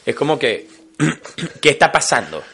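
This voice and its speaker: Un hombre con acento venezolano, copresentador de un podcast, que conversa en tono informal, bromista y lleno de jerga. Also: male voice, medium pitch, measured pace, gravelly, husky. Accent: Venezuelan Spanish. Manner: joking, animated, full of slang. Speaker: podcast co-host. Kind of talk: casual chat.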